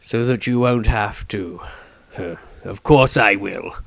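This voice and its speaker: A man voicing an old male wizard patriarch in a storybook, formal, deliberate and sympathetic. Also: lowish voice, gravelly, no room sound, studio quality. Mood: grave.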